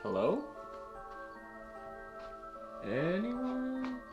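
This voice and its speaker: A man's voice: very high voice, wildly swooping pitch, drawn-out words, dull audio, loud music behind the voice, full character voice. A young man playing a haunted student writer in a comedy web series, flustered and awkwardly hesitant. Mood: flustered, exasperated. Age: young adult.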